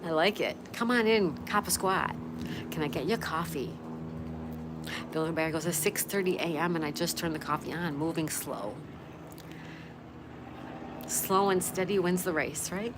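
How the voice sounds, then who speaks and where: Low-voiced woman in her sixties, noisy background. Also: normal speed, pauses often. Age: 60s. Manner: chatty, greets viewers and repeats phrases. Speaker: livestream host. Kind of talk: casual live chat, reading viewer comments aloud.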